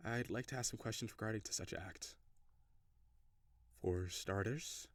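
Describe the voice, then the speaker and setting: A man's voice: fairly low pitch, measured pace, dry recording. A man playing a curious, reserved alien in an intimate audio roleplay, speaking in stiff, formal, precise phrasing. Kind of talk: intimate scripted audio roleplay addressed to the listener.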